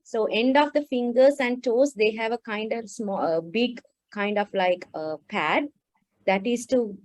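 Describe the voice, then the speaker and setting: High-pitched woman explaining nature to children. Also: measured pace, no room sound. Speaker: guest explainer on wetland animals. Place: online video call. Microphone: video call microphone.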